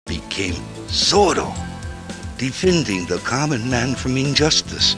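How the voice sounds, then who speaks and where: An actor playing a solemn storyteller, giving scripted cinematic narration of a heroic legend for a video game. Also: male voice, mid-range voice, big pitch swings, measured pace. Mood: solemn, dramatic. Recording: music behind the narration.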